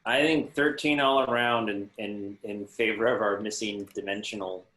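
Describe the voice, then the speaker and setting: A man's voice: fairly low pitch, fairly even intonation, moderate pace, bright, forceful tone. A man in his thirties chatting casually as a guest on a video call, wry and wordy. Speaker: guest panelist. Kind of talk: casual, playful chat. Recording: roomy, echoey video call sound.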